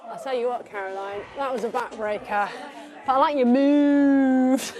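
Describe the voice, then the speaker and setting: A British woman presenting in an animated, playful, chatty vlog style. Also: sits high, normal speed, a faint crowd.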